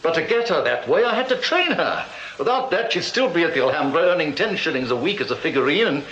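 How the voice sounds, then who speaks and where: Casting: vain music-hall magician, higher-pitched, wildly varied delivery, blustering and aggrieved, period radio drama. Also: male voice, very animated, fast, fairly bright tone. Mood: proud, aggrieved. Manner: haughty, blustering, self-justifying. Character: indignant, vain male Victorian stage magician, self-pitying. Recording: roomy, muffled, faintly noisy radio recording.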